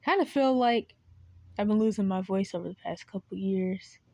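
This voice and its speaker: A woman of ordinary pitch rambles to the camera with no script, stopping to wonder where her point went and doubling back. Her voice is cracking and strained. The sound is close and dry, with no room around it. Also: moderate pace, darkish tone, no room sound. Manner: meandering, loses her train of thought and restarts. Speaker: video creator. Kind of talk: rambling unscripted monologue.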